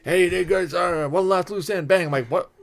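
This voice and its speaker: A man's voice: sits high, normal speed, gravelly. A man co-hosting a casual podcast chat about films and games in an informal, enthusiastic manner. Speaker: podcast co-host.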